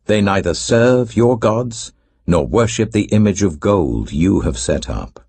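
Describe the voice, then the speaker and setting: A man's voice: fairly low pitch, lively intonation, unhurried, darkish tone, breathy edge, no echo, exaggerated voice. A British man voicing a deferential court astrologer who formally and insistently accuses others, in a scripture audiobook. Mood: accusing.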